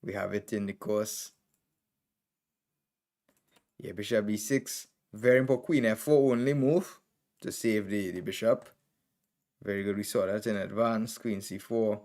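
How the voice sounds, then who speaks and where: Chess master with a Trinidadian accent, a man with a lowish voice, thinking aloud through moves in short bursts. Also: fairly even intonation, measured pace, pauses often, a little mellow. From Trinidad and Tobago. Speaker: chess master streaming game reviews. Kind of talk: live commentary on chess games.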